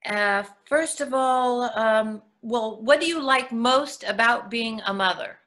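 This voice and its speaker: A woman's voice: fairly high pitch, takes it slowly, a bit bright. A woman acting a shy, candid twelve-year-old girl in a play reading, earnest and hesitant in her talk.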